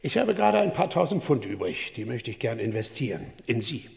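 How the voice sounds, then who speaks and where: Eccentric, insistent, gravelly older gentleman. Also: mid-range voice, brisk, long reverb tail. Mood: wheedling, sly. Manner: excitable, emphatic, repetitive. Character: eccentric, anxious elderly gentleman investor, insistent and wheedling. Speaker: actor in a radio drama. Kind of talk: German radio play acting.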